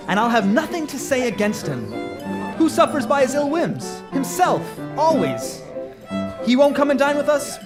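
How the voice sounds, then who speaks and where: A man's voice: extremely high pitch, pitched above the performer's own voice. A man playing a good-natured, jovial young nephew in a live radio drama, speaking with emphatic heartiness.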